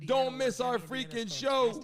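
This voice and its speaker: A man hosting a livestream sports show, excitedly giving slangy shout-outs and chatting casually with his viewers. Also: extremely high pitch, slow, a crowd in the background. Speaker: live stream sports show host. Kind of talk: loud casual livestream hype chat. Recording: loud music behind the voice. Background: loud music.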